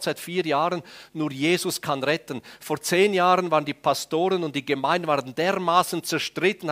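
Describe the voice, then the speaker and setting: A man's voice: on the high side, fairly bright tone. A man preaching in a church, telling a conversion story and asking for slides. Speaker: preacher presenting a mission report. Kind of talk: sermon with slides.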